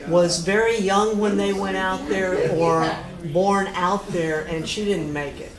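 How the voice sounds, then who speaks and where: A mature woman with an American accent, explaining family history casually and in detail, pausing to recollect. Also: medium pitch, steady tone, a crowd in the background. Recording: echoey room with clear noise.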